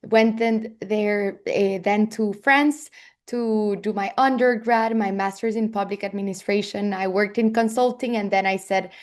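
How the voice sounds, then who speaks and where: A woman's voice: mid-range voice, steady tone, measured pace. A woman from El Salvador, a guest author giving a direct, simple self-introduction with "eh" fillers.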